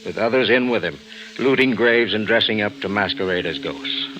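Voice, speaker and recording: Man playing a low-voiced, blunt lawman. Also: muffled recording, close to an everyday voice. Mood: grave, calculating. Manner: matter-of-fact, measured, stern. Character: blunt, coolly reasoning lawman breaking hard news. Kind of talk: old-time radio mystery acting. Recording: noisy vintage radio broadcast.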